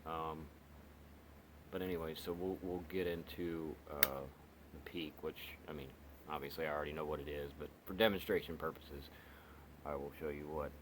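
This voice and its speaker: A man's voice: a bass voice, background noise. A man rambling through a casual radio review, full of fillers and restarts. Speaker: radio reviewer, channel host. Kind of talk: casual product review vlog.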